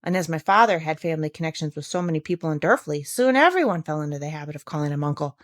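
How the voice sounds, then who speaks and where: A woman's voice: deep voice, lively intonation, rapid-fire, no echo, studio quality. A woman voicing a self-interested, knowing young village woman in a storybook, firm and matter-of-fact. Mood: recounting. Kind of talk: storybook character reading.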